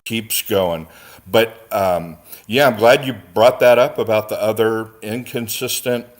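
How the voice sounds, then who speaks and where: A man talks casually in a low register and at a very slow pace. He is halting, often searching for the next word. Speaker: discussion participant on a stream. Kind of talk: casual discussion.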